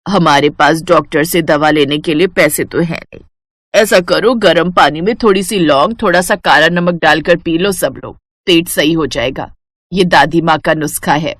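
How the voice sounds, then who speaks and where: A woman voicing a kindly, homely elderly village mother-in-law in a cartoon story, in a slow, motherly manner. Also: deep voice, no room sound, studio quality, voice pushed down. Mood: advising.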